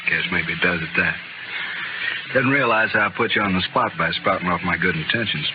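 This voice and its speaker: An actor playing a sardonic, fatalistic gambler and gunfighter with soft menace, in 1950s radio western style. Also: male voice, low voice, brisk, natural voice. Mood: subdued, sincere. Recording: muffled, noisy old radio broadcast.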